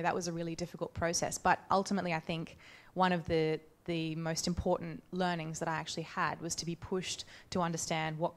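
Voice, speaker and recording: Low, even-toned woman panellist. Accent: Australian. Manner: measured, thoughtful, with frequent restarts. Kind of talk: personal reflection on a conference panel. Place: conference hall.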